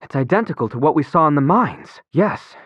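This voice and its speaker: A man's voice: a little high, expressive, brisk, husky, dry recording, highs cut off. A man playing an earnest, proud young retainer in a video game, speaking in formal, archaic courtly phrasing. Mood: questioning, concerned. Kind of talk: video game character acting.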